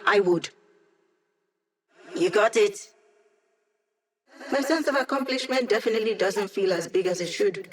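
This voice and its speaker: A woman's voice: mid-range voice, a little slow, long pauses, natural voice. Woman playing a breezy, wry young hacker sidekick. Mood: wry, teasing. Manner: breezy, wry, teasing, techy. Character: witty young female hacker, a digitized mind, cheeky squad helper. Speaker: mission support character in a video game. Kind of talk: video game voice lines.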